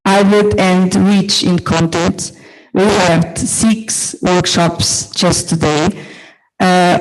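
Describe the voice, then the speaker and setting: Conference host, formal welcome, mid-pitched voice, throat clearing. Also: low-quality recording. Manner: reads a list of dignitaries, clears throat often. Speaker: conference organizer. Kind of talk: formal opening speech.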